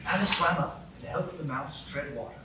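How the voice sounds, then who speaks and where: A man narrating a storybook tale in a live radio play, with a gentle storytelling manner. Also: fairly high pitch, expressive, moderate pace. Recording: roomy echo from far off, light noise.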